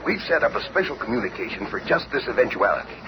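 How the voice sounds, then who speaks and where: An actor playing an impatient, gruff government official barking with authority in a 1970s superhero radio drama. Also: male voice, mid-range voice, big pitch swings, quick pace, close to an everyday voice. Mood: brusque, pompous. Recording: noisy vintage radio recording.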